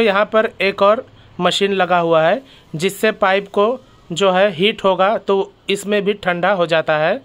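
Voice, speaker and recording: A man's voice: high-pitched, a bit bright, smooth. A man hosting his own channel, walking through a factory and explaining each step, often calling out to viewers as friends. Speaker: channel host. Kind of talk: factory tour vlog narration.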